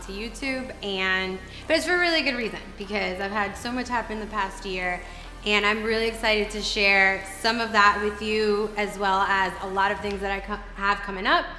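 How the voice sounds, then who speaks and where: An American woman in her thirties telling her personal story to camera in a candid, animated way, peppered with "like". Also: mid-range voice, moderate pace. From Dominican Republic, raised in northeastern United States. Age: 30s. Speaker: professional bikini fitness competitor. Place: large open indoor living area with kitchen. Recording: reverberant room, music underneath. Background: background music.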